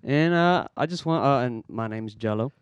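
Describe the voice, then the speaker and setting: Smooth-voiced young man hosting. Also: mid-range voice, lively intonation, no echo, dull audio. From Philippines, raised in Australia. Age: 20s. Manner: earnest, halting, restarts often. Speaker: podcast host. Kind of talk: casual faith podcast chat. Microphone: podcast microphone.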